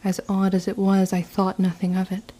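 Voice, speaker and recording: A woman playing a grim, resolute young witch in an audio drama, measured and solemn in delivery. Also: medium pitch, completely flat delivery, dark tone, natural voice. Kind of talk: scripted first-person audio drama narration.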